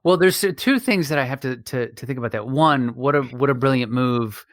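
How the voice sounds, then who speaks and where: A man hosting a casual podcast chat, talking haltingly and repeating words as he thinks aloud. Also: medium pitch, no echo, clean studio sound. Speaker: podcast host.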